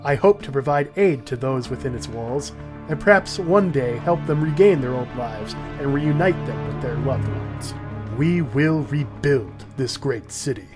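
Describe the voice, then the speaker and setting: A man playing a government official, speaking in a measured, formal way as in a public address for a comic dub. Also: medium pitch, lively intonation, music in the background, natural voice. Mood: solemn, official. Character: male government official announcing a mutant quarantine.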